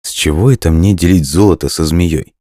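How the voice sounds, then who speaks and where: A poor, humble woodcutter from a storybook, read by a man. He speaks plainly and ruefully in an extremely deep voice with a dry sound. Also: fairly quick, mellow, dry recording. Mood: scheming.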